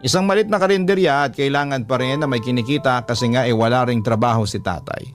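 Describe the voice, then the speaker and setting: A man narrating a listener's letter in a heartfelt, measured way. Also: medium pitch, soft background music. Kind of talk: reading a listener's letter aloud.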